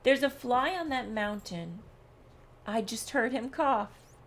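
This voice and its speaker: A woman's voice: on the high side, lively intonation, slow pace. A woman reading the part of a boastful, challenging male rabbit in a storybook. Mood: bragging. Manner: bragging, challenging. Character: boastful male rabbit, proud of his keen ears.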